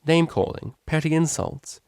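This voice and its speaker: A man voicing a prim, proud young mare, a cellist, who speaks in a formal, measured, articulate way in a storybook reading. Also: a little high, a little mellow, smooth, no echo, studio quality, voice pushed up, natural voice. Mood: cool.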